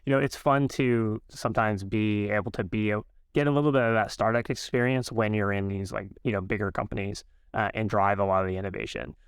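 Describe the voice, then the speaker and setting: A man's voice: lowish voice, fairly even intonation, a little fast, few pauses, clean tone, dry recording. Guy hosting a tech podcast, lots of uhs and you knows, clean dry mic. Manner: conversational with frequent fillers. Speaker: podcast host.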